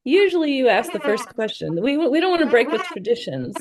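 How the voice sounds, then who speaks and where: A woman's voice: fairly high pitch, sing-song, a little fast, crowd noise. A woman with a Midwestern accent from rural Indiana, co-hosting a book podcast in an upbeat, chatty way. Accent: Midwestern American. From rural Indiana, USA. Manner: upbeat and chatty, with frequent laughs. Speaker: podcast co-host. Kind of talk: casual podcast chat.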